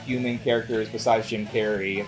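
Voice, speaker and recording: A man's voice: lowish voice, steady tone, a little fast, soft background music, rough recording. A man co-hosting a casual movie review, with dry, joking asides. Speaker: co-host of a movie review show. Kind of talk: casual film review chat.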